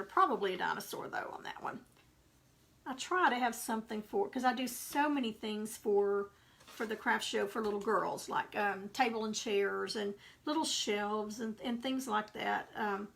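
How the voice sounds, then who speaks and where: A woman's voice: medium pitch, creaky, a bit bright. A woman with a Texas accent chatting through a live painting tutorial, rambling into homey asides as she paints. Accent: Texan. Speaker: craft painting instructor. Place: home craft workspace.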